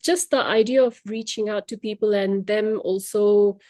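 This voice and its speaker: A woman's voice: medium pitch, fairly even intonation, normal speed, a little mellow, dry recording. Young woman, tamil native, chatting about teaching, lots of ums, mid voice, dry close sound. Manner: reflective, chatty, with many fillers and restarts. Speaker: language trainer, guest. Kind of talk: casual interview. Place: Luxembourg.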